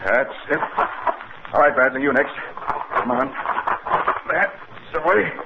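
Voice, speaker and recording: Panicky doctor hero for 1950s radio serial: urgent, exclaiming, on a very noisy, tinny recording. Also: male voice, on the high side, big pitch swings, a little slow, gravelly, reverberant, full character voice. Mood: frantic urgency. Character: frantic, urgent male doctor leading an escape. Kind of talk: 1950s radio drama acting. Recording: tinny old radio recording, loud noise.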